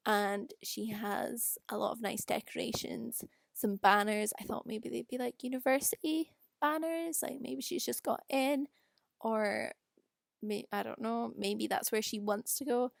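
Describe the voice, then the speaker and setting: A woman's voice: on the high side, steady tone, unhurried, gravelly, no echo, studio quality. A young woman with a Scottish accent, rambling casually and self-deprecatingly in an unscripted voice-over. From Scotland. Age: young adult. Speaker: video creator doing a voice-over. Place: quiet room at home.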